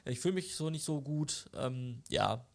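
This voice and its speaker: A man's voice: mid-range voice, lively intonation, unhurried, gravelly. A young man co-hosting a podcast from his living room, talking casually with a cold, hesitant and full of fillers. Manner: hesitant, many fillers and restarts. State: nasal with a cold. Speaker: podcast co-host. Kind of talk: casual chat.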